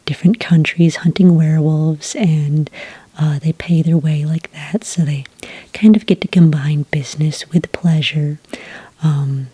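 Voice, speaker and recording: A woman speaking in a soft, whispery voice close to the mic, playing a polite, unhurried agent in an ASMR roleplay. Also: low voice, fairly even intonation, no room sound. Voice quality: breathy, whispery. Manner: gentle, unhurried, politely procedural. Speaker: ASMR roleplayer acting as a werewolf report agent.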